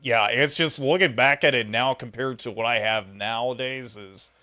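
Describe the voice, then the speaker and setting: A man hosts a casual interview in a very bright, forward voice. His pitch sits in an ordinary middle range, and he talks in a conversational way. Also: moderate pace. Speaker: interviewer, host.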